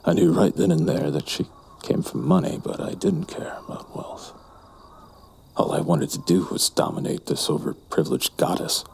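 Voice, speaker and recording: A man playing a brooding, lustful, menacing narrator in a horror audio drama, with slow, deliberate, breathy delivery. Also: low-pitched, big pitch swings, a little mellow, slight background noise, heavily acted. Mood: brooding, smug. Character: brooding, lustful, menacing male narrator recounting a dark memory.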